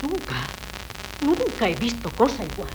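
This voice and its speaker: An actress playing a haughty young society wife in a 1970s radio comedy, theatrical and imperious. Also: female voice, on the high side, big pitch swings, unhurried. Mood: indignant, haughty. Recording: noisy old 1970s radio recording.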